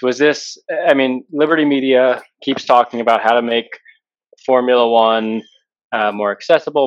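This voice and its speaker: A man's voice: medium pitch, muffled recording. A man hosting a casual podcast chat, thinking aloud and stumbling over repeated words. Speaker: podcast host. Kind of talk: casual discussion.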